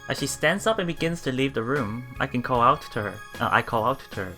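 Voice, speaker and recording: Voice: medium pitch, lively intonation, fast talker, pushed and bright, faint music behind. A let's player reading visual novel text aloud and musing casually on it as they go. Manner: musing, often thinking aloud.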